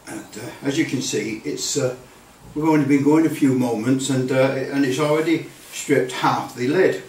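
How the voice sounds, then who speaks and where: A man giving an informal how-to narration in a halting, unscripted way, recorded at a distance in an indoor workshop. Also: medium pitch, a little mellow. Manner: halting, unscripted delivery with many hesitations. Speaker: hobbyist presenter demonstrating restoration. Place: hard-surfaced workshop indoors. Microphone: distant camera microphone. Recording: very echoey, distant, noisy room sound.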